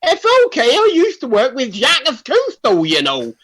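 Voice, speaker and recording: Over-confident comic bungler woman actor, very high voice, hugely animated, radio comedy. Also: normal speed, breathy edge, full character voice. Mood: boastful.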